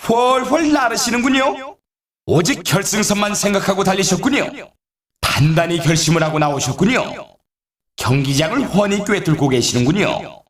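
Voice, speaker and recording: Distant echoing sound, animated male race announcer, teasing, formally polite, sarcastic, video game sports commentary. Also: sits high, normal speed, a crowd in the background. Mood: excited, celebratory, then wry, formal.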